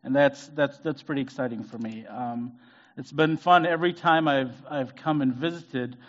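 A man's voice: medium pitch, normal speed, muffled recording. Hesitant man preaching. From Zambia. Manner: hesitant, many fillers and restarts. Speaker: guest preacher. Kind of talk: conversational sermon. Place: church sanctuary.

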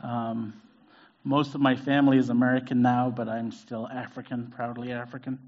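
A man's voice: medium pitch, flat delivery, normal speed, highs cut off. A middle-aged man from Zambia, giving a conversational sermon as a guest preacher. He talks with many fillers and restarts. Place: church sanctuary.

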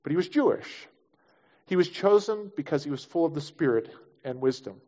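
A man's voice: on the high side, big pitch swings, normal speed, warm tone, lo-fi sound. A man preaching a conversational sermon as pastor, acting out quoted voices as he teaches.

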